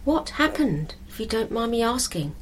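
A woman's voice: on the high side, very animated, normal speed, a little hiss or hum, voice pushed up. A woman voicing a curious, kindly woman gardener in a story, with an eager, polite manner. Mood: gently curious.

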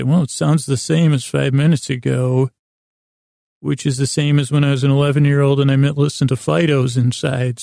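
A man hosting a podcast in a rambling bedtime monologue, lulling and deliberately dull, halting and repeating himself as he drifts between half-finished thoughts. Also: medium pitch, creaky, warm tone, dry recording, studio quality. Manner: meandering, halting, repeats and trails off mid-thought. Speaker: podcast host.

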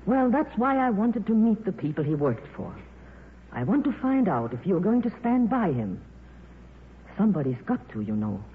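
An actress plays a worldly, earnest film star who speaks plainly with wide swings of pitch. The recording is a tinny 1950s radio drama with faint noise. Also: female voice, big pitch swings, normal speed, lightly acted. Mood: frank, concerned. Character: frank, loyal, worldly female film star.

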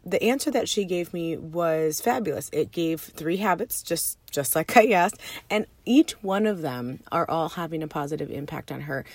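A woman in her thirties with a hoarse voice, hosting a solo money-coaching podcast from her parked minivan in an upbeat, chatty way. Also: on the low side, darkish tone, dry recording. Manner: upbeat and chatty, with fillers and restarts.

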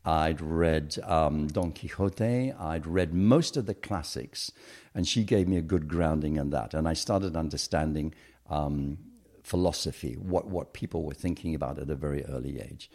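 A man's voice: extremely low pitch, normal speed, a little mellow. A middle-aged man as a podcast guest, talking thoughtfully about his upbringing with frequent hesitations. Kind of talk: reflective interview conversation.

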